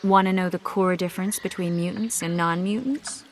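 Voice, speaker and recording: Knowing sorceress, lowish voice acted by a woman. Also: fairly even intonation, normal speed, natural voice. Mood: clinical, matter-of-fact. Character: knowing, forthright female mage and healer. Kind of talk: video game voice acting.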